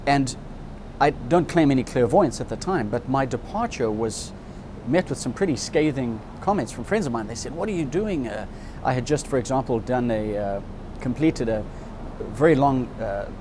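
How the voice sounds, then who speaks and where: A man's voice: medium pitch, animated, moderate pace, background noise. A man in his fifties from Zimbabwe, telling his life story in an interview in a candid, reflective way. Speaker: interviewee, subscriber.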